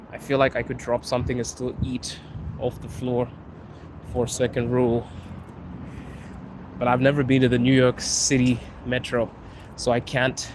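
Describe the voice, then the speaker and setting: A man from Zimbabwe hosting a casual live stream, chatting with viewers and answering their questions while riding the metro. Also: mid-range voice. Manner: chatty, answers chat questions, laughs often. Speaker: livestream host. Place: Moscow metro train and platform. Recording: loud train and city noise.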